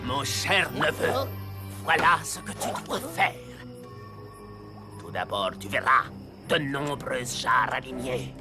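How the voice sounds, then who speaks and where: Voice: mid-range voice, very animated, over music. An actor playing a scheming evil sorcerer who poses as a kindly uncle in a French cartoon fairy tale, with a commanding, theatrical delivery. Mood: falsely kind, instructing. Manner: slow, commanding, theatrical incantations.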